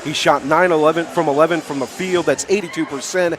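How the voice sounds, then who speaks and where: A man's voice: fairly high pitch, fairly even intonation, fairly quick, a bit bright. An American man hosting pregame basketball commentary on radio, emphatically rattling off statistics. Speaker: sports radio play-by-play host.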